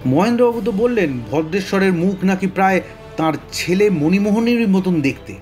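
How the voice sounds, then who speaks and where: Male actor as blustery exasperated police officer, high-pitched, querulous, detective radio play, faint music. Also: animated, normal speed, darkish tone. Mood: blustering, questioning.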